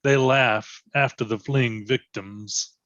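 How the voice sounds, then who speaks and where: A man's voice: medium pitch, fairly even intonation, slow pace, a bit bright, no room sound. A man reading scripted stage directions as present-tense narration, in a slightly muffled recording.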